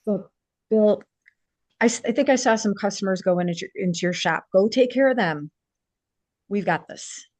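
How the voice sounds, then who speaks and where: A woman's voice: medium pitch, normal speed, pauses often, a little mellow, dry recording, natural voice. An actress reading a hopeful, persuasive small-town woman in a holiday romance table read. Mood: firm.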